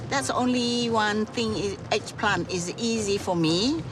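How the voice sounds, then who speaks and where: A middle-aged woman with a Cambodian accent, chatting casually in halting non-native English. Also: medium pitch, rough recording. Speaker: taxi driver, guest. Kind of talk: casual chat. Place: inside a car.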